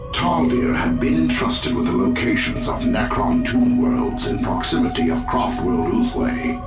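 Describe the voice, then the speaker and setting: An actor playing a solemn elf seer who talks in measured, portentous phrases. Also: male voice, mid-range voice, echoey room, far from the mic.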